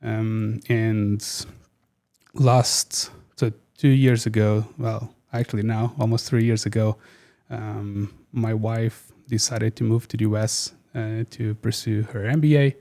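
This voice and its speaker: A man's voice: lowish voice, level tone, takes it slowly, creaky, mellow. A man in his thirties from Portugal, a guest speaking casually and hesitantly with many fillers and restarts. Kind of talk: casual interview chat.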